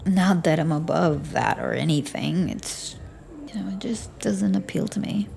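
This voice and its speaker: Woman doing a flirty bartender roleplay, slow, gravelly, half whispered, teasing. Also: deep voice, lively intonation, faint music behind. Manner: soft, breathy, flirty and teasing, often near a whisper. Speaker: audio roleplay performer.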